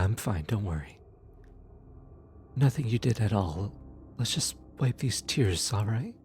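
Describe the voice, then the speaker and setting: A man playing a gentle, awkward demon soul collector in an ASMR audio roleplay, speaking softly, tenderly and a little haltingly to comfort the listener. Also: on the low side, a little hiss or hum. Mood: gentle concern. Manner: hesitant, halting, tender, casual.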